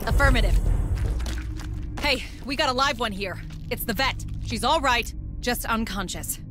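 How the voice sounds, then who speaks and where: A woman's voice: on the high side, unhurried, pushed and bright, soft background music. A woman voicing an edgy, wisecracking space marine in a video game, with punchy, sardonic remarks. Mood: alert.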